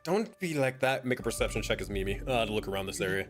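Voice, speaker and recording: A man's voice: on the low side, expressive, quick pace, faint music behind. A man running a tabletop roleplaying game, improvising narration in a casual way. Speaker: game master.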